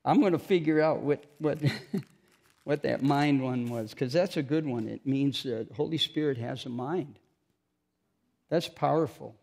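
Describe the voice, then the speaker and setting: Gravelly, chatty preacher man. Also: mid-range voice, measured pace, a little mellow. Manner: chatty, self-mocking, jokes with the congregation. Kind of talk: informal sermon with scripture reading.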